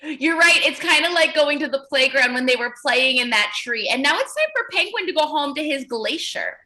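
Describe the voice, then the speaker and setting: Female early-years teacher, high and very lively pitch, animated read-aloud style, encouraging. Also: normal speed. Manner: animated, encouraging praise and questions; repeats children's answers back. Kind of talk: interactive children's read-aloud lesson.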